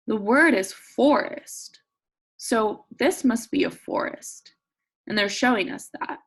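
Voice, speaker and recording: A woman with a fairly high, lively voice leads a read-aloud for little kids at an unhurried pace. Her tone has a husky, creaky edge as she reads deliberately and poses questions to her listeners like a teacher. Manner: expressive, prompting listeners with questions and think-alouds; slow, deliberate sentence reading with simple explanations for children. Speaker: teacher reading aloud to young children. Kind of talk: interactive nonfiction read-aloud lesson for young children.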